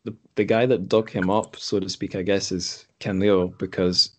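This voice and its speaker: Low-voiced man, hesitant podcast host. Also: flat delivery, normal speed, darkish tone. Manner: hesitant, self-correcting, thinking aloud. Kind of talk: casual unscripted chat. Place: room in a home.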